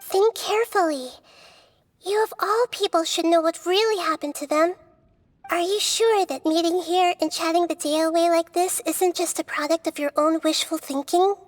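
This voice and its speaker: Gentle wise child goddess for a game: high voice, dry studio sound, calm careful explaining. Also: female voice, no echo. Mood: concerned. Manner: measured, explanatory, caring. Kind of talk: video game voice acting.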